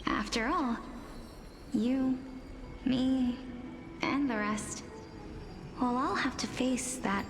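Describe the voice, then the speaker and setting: Gentle, high-voiced young woman, unhurried. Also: over music. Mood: solemn, sorrowful. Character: gentle, earnest young woman facing a fated sacrifice. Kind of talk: scripted game dialogue acting.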